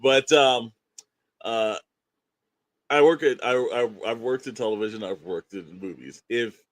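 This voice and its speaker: A man's voice: mid-range voice, unhurried, no room sound. A man co-hosting a podcast, talking in a casual, slangy, emphatic storyteller's way that rambles along. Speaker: podcast co-host.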